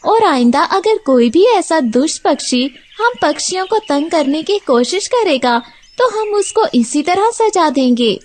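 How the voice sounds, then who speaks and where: Young girl bird, kids' cartoon female performer: brave, clever, earnest, high-pitched, animated delivery. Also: unhurried. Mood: warm, resolute. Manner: earnest, determined. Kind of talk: children's cartoon story acting.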